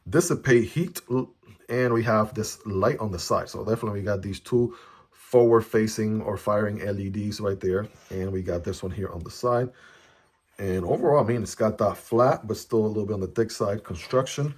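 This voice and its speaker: Chatty low-voiced man reviewing gear. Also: moderate pace. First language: Chinese. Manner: chatty, frequent "right?" tag questions. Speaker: product reviewer. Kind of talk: casual unboxing and review. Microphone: phone camera.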